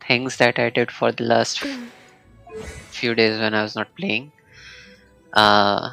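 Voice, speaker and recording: Male game streamer, Indian accent, bright tone with some fry, casual bilingual chat, music underneath. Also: on the low side, rough recording. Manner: switches between English and Hindi mid-sentence. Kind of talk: casual livestream gaming chat.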